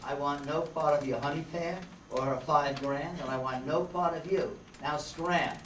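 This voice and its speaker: A man's voice: a little high, fairly even intonation, moderate pace, a bit bright, close to an everyday voice. A man playing a wisecracking, hard-boiled private eye in an old-time radio drama, slangy and sardonic. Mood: brash, irritated. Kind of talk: old-time radio detective narration and dialogue. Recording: roomy, noisy, distant microphone stage recording.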